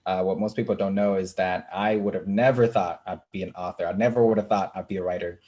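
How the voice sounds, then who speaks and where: A young man from Kenya, an author being interviewed, speaking earnestly with frequent pauses. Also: low-pitched, steady tone, dark tone. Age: young adult. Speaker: author and writing coach, guest. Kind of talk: casual interview talk.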